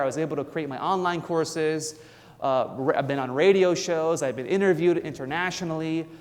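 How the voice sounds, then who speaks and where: A man in his thirties with a fairly high voice gives an earnest motivational talk. He speaks emphatically, now and then breaking off to restart a word. The sound carries a long hall reverb. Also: darkish tone. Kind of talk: inspirational speech.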